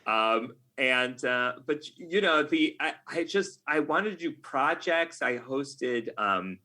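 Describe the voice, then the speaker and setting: A middle-aged man talking casually as an interview guest, often halting and rephrasing himself as he goes. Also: medium pitch, expressive, sharp tone. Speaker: podcast guest, game writer. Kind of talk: casual interview talk, reflective anecdotes.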